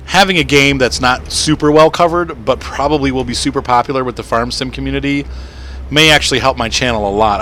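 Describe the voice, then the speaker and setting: A man in his thirties hosting a gaming channel. He rambles through casual live-stream gameplay commentary with lots of fillers, and his voice sounds slightly rough and crackly. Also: medium pitch. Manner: rambling, chatty, with frequent fillers and soft laughs.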